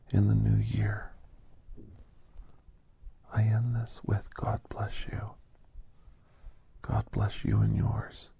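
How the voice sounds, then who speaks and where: Guy acting as a kindly thoughtful doctor telling asmr stories, super croaky whispery low voice, short halting bits. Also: full character voice. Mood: kindly, hushed. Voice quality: breathy, gravelly whisper. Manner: slow, hushed, halting short phrases. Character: gentle reflective male doctor telling a holiday story.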